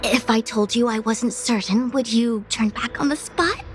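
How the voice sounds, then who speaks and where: A dutiful female commander and diviner from a video game, played by a woman. Her voice sits on the high side, and she speaks formally and precisely, like someone used to authority. Clear music plays underneath. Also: natural voice. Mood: calm, explanatory. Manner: formal, measured, articulate. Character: proud, dutiful female diviner, youthful-sounding commander and lecturer. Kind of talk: English video game voice acting. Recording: music behind the voice.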